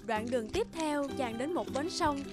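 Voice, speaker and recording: High-voiced woman narrating a fairy tale. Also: animated, moderate pace. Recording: music behind the voice. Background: music.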